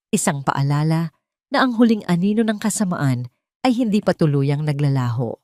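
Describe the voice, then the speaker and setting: A woman's voice: lowish voice, darkish tone, no echo, studio quality. A woman reading a scripted first-person story as a dramatic narrator. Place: dry close studio-like recording.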